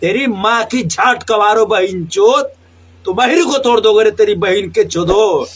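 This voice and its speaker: A man with a high-pitched voice and a Bhojpuri accent rants to a live social media audience. He is combative and defiant, hammering phrases by repeating them. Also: measured pace, a bit bright, husky. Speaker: singer.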